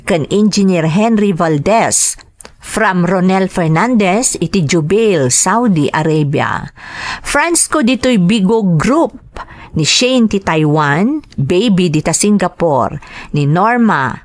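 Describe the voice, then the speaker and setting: Ilocano-accented woman, slightly low voice, podcast host, scripted reading, very dry recording. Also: no room sound. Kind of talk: scripted narration, reading greetings and letters.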